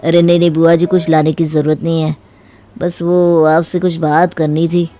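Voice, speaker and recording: A woman's voice: sits low, little pitch movement, moderate pace, warm tone, pitched below the performer's own voice, natural voice. A woman voicing a hesitant, respectful young married man in a story, speaking in a deferential way.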